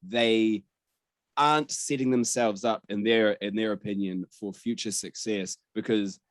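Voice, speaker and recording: A man's voice: fairly low pitch, steady tone, a little slow, dry recording. A man hosting a casual podcast discussion in a conversational, wry way. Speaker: podcast host.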